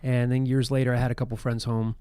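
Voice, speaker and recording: A man in his thirties hosts a chatty podcast, his voice hoarse as he gets over a cold. He tells rambling, self-deprecating stories at a middling pitch. The sound is close and dry, with no room around it. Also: level tone, fast, warm tone, dry recording. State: losing his voice after being sick. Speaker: podcast host. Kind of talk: casual podcast chat.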